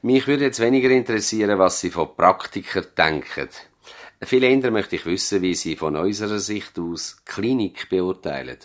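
An actor with a Swiss German accent playing a dignified, idealistic family doctor in a 1970s radio play, solemn and deliberate. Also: male voice, fairly low pitch, natural voice. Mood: solemn, fervent.

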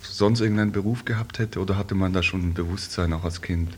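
A man with a low voice conducts a conversational interview. He puts his questions tentatively, with pauses, over faint background noise.

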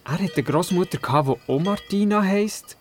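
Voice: mid-range voice, lively intonation, moderate pace, soft background music, close to an everyday voice. A performer playing a curious, doubting young boy in a Swiss German radio play, asking questions and narrating. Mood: curious, puzzled. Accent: Swiss German, Glarus area. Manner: inquisitive, skeptical.

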